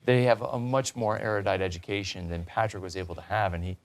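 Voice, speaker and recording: A man's voice: fairly low pitch, fairly even intonation, fast. A man hosting a talk show, interviewing conversationally and restating points as he leads into questions.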